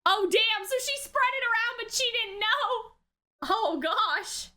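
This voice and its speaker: A woman's voice: very high-pitched, slow, sharp tone. A woman giving a casual live reaction commentary, exclamatory and slangy, thinking aloud as she goes. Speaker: reaction video host.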